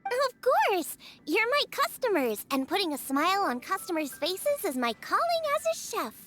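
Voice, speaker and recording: A woman's voice: very high voice, big pitch swings, normal speed, a bit bright, soft background music, full character voice. A woman playing a bubbly, earnest teenage girl chef in a video game, perky and enthusiastic. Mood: upbeat.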